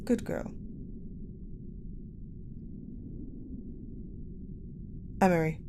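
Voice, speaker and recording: A woman playing a cold, guarded, possessive war general in a dramatic audio roleplay, speaking in a measured, haughty, pensive way with deliberate pauses. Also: close to an everyday voice. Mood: pensive, composed. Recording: clearly noisy, ambient sound bed.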